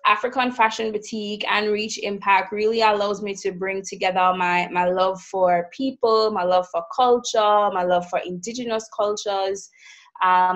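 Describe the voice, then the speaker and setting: Woman guest from Jamaica, medium pitch, conversational, halting with ums. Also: muffled recording. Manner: warm, with frequent fillers and repeated starts. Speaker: podcast guest, business leader. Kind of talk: conversational interview.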